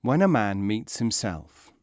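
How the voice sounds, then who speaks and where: A man reading philosophical teaching stories as a scripted audiobook narration, in a measured, emphatic, instructive way. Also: fairly low pitch, sing-song, a little mellow, dry recording, clean studio sound.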